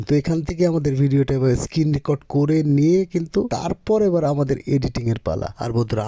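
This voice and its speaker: A man hosts a screen-recording tutorial in a very soft-edged, dark voice at a middling pitch. His recording is dry and close. He walks viewers through each step, repeating points and calling them friends. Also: no echo. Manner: repetitive, addresses viewers as friends. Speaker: YouTube tutorial host.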